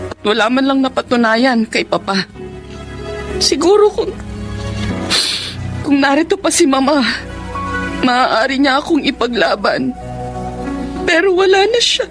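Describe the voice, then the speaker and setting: High-voiced, long-suffering wife. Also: female voice. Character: long-suffering, belittled wife and mother, timid but breaking. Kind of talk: emotional Tagalog television drama acting.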